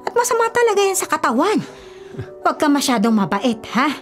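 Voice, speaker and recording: An actress with a Filipino accent playing a concerned, admonishing older sister in a radio drama, speaking expressively. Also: female voice, sits high, very animated, brisk. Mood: scolding. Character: concerned, nagging older sister of a young man. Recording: faint music bed behind dialogue.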